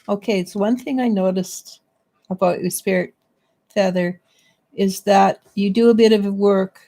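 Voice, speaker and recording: A middle-aged woman giving a casual psychic reading, halting and restarting as she searches for words. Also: mid-range voice, darkish tone. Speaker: psychic reader. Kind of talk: casual spiritual reading and chat.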